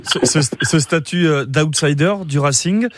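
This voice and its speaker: A man hosting a radio sports interview in a studio, asking brisk, friendly questions. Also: fairly high pitch, no echo. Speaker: radio host.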